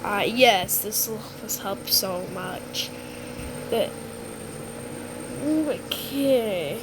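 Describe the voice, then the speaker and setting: A woman improvising a disaster roleplay for a game video, speaking haltingly with repeated words and stumbles. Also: medium pitch, fairly even intonation. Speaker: gamer recording a roleplay video. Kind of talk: improvised disaster roleplay with mock alert announcements. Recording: clearly noisy background.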